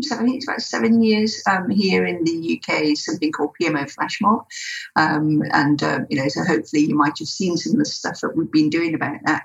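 A woman speaks as a guest in a chatty, hesitant way, full of ums. Her voice sits low for a woman. The sound is a little muffled, like a remote line. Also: gravelly. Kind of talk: casual interview talk.

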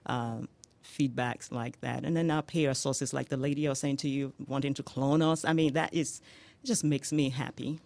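A woman's voice: a bass voice, steady tone, moderate pace, creaky, no echo. A nigerian woman business owner, chatty and laughing a lot, lots of "you know", dry close sound. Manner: warm, laughing often, many "you know" fillers. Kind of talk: radio interview answers.

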